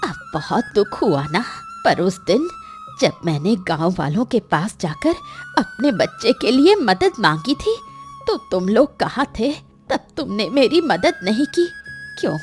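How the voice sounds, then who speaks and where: A woman's voice: a little high, sing-song, unhurried, faint music behind. A woman voicing a bitter, grieving old village woman shunned as a witch, in a melodramatic cartoon story with an aggrieved, emphatic delivery. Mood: bitter, hurt.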